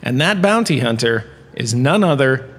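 A man's voice: mid-range voice, animated, moderate pace, heavy background noise. A man delivering a theatrical comedic lecture as host, self-satisfied and wry, with dramatic phrasing and chatty asides. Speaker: host playing a university lecturer. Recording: echoing hall with long reverb.

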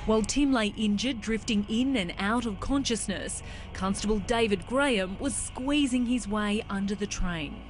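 A woman reporter reading a scripted news story in a dramatic, measured way. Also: medium pitch. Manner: dramatic, measured voice-over delivery. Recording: voice-over with music bed.

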